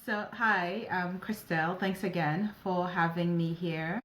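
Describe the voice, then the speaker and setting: Woman, 40s, native French speaker, deep voice, echoey room, faint background noise. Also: fairly even intonation, measured pace, fairly bright tone. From Ivory Coast and Ghana. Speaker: guest, operations advisor. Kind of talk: casual interview answers.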